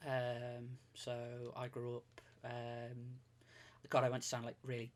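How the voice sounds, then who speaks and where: A man's voice: medium pitch, monotone, a little slow. A man, chatting casually as a guest, rambling and self-deprecating with lots of fillers and restarts. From Greater Manchester, England. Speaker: streamer guest. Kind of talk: casual podcast chat.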